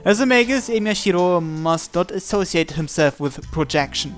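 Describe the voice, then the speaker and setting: A man's voice: sits high, expressive, normal speed, faint music behind. A man narrating a visual novel in the first person, reading from the script.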